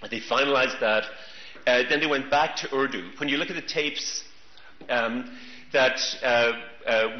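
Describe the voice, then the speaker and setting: A middle-aged man lectures from a podium in a large hall, with a mid-pitched voice and a steady, thoughtful delivery full of small pauses. The sound is roomy and a little dull. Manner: measured, thoughtful, many fillers. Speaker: keynote speaker. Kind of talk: conference lecture. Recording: roomy, dull conference recording.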